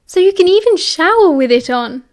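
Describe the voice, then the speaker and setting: A woman voicing a noisy, cheerful, excitable teenage boy in a story, exuberant and mock-grand. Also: high voice, normal speed, close to an everyday voice.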